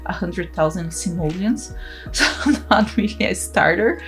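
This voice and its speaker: A woman's voice: fairly low pitch, unhurried, faint music behind. A young woman from Brazil, a native Portuguese speaker, talking in English with a Brazilian accent in a casual, laughing commentary over her game build. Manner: chatty, laughs often, restarts words. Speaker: gaming channel host.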